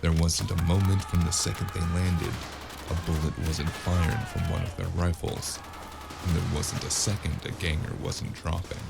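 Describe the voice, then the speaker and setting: A low, creaky male voice tells a cyberpunk noir story in the first person. He plays a weary, wry gunman, delivering scripted narration with music underneath. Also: flat delivery, moderate pace. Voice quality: gravelly. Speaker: narrator and lead character of an audio drama.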